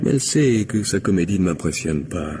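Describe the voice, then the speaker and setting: An actor playing a glib, insistent gentleman in an old French radio play, talking a lot, wheedling and stammering. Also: sits low, animated, a little fast. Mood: scornful. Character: glib, insistent gentleman pestering a young woman. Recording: noisy old radio drama recording.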